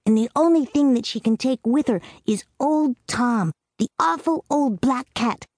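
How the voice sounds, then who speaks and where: A woman's voice: sits high, unhurried, darkish tone, dry recording, studio quality, voice pushed up. A woman reading a storybook, voicing an earnest, commanding little girl who lays down rules. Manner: earnest, commanding, a bit solemn. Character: bossy imaginative little girl Amy.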